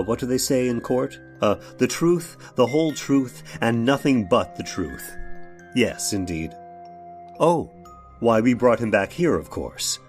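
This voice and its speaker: An actor playing a nervous, defensive man in an old-time radio horror drama, speaking haltingly and emphatically as if making a confession. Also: mid-range voice. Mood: nervous, earnest. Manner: halting, emphatic, rhetorical questioning.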